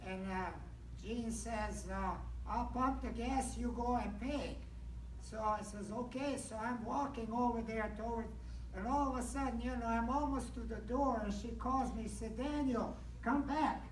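A man's voice: very high voice, measured pace. Older man testifying in echoey church. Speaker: church member giving testimony. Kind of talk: personal testimony storytelling. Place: large church sanctuary. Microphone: handheld mic, picked up by distant camera. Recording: distant, echoey, noisy church hall recording.

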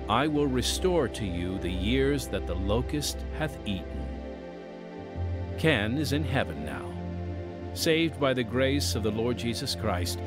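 A man reading scripted narration and announcements as the host of an audio drama podcast. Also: medium pitch.

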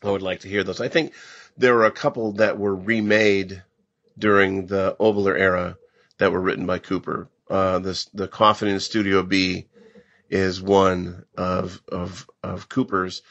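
A man's voice: sits low, little pitch movement, unhurried, a bit bright, dry recording, highs cut off. A middle-aged or older man from Illinois, co-hosting a podcast with casual banter and recollections. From Pekin, Illinois, USA. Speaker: podcast co-host.

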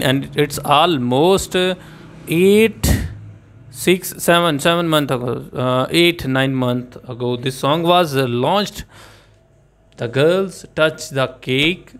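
A man's voice: fairly high pitch, takes it slowly. A man in his thirties, not a native English speaker, hosting a casual reaction video, chatting with many hesitations and exclamations in a small room. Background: faint music from the reacted video.

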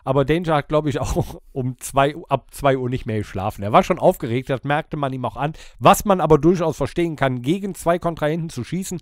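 A man with a fairly high voice chats as a podcast co-host. He tells stories casually, and the sound is close and dry. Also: brisk, hardly any pauses, no echo. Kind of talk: casual storytelling and banter.

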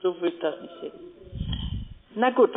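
A woman's voice: lowish voice, animated, normal speed. A woman making a sales call in unscripted conversation, speaking a little hesitantly and adding tag questions. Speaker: trading sales caller. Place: phone call. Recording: tinny phone line.

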